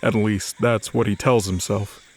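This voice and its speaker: A man's voice: fairly low pitch, steady tone, unhurried. A man narrating scripted fiction as the storyteller of an audio drama. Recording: faint music under the voice. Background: music.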